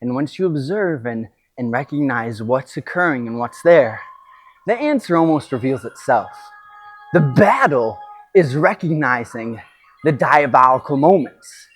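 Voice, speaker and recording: Husky man giving a motivational monologue. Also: fairly high pitch. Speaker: podcast host and coach.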